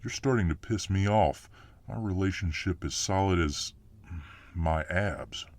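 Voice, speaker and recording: A man playing a gruff, protective, muscular fireman boyfriend full of tough-guy bluster in an ASMR roleplay. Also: low voice, a little slow, darkish tone. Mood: cocky, irritated.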